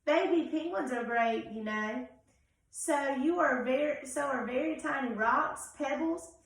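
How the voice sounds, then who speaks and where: A woman's voice: high voice, measured pace. A woman voicing an exhausted, overwhelmed gray crayon from a storybook, complaining and pleading wearily. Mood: weary, overwhelmed. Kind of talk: storybook read-aloud. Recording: very echoey room, distant from mic.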